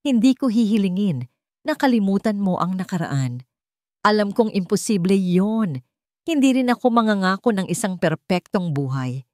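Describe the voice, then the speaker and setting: A woman's voice: mid-range voice, animated, normal speed, a little mellow, no room sound, clean studio sound, close to an everyday voice. Woman voicing a remorseful, pleading rich businessman. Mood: sincere, apologetic. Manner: pleading, earnest. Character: remorseful wealthy male CEO, broken and pleading for forgiveness. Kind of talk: dramatic Tagalog audio story.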